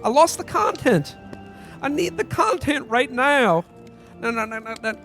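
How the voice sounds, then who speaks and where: A man playing a part with a very high, stammering voice, as a desperate everyman in a parody radio play. He pleads in a folksy, flustered way. Music plays behind him. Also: animated, unhurried, fairly bright tone, heavily acted. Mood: pleading, flustered. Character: desperate, pleading podcaster in old holiday-film style. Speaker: podcast host.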